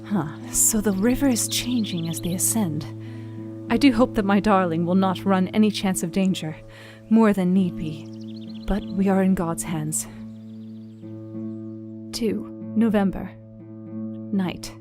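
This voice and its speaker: A woman's voice: mid-range voice, measured pace, over music, close to an everyday voice. An actress playing a devout, troubled young Victorian wife, reading her journal in an earnest, reflective, formal way for a radio play. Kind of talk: dramatic audio journal reading.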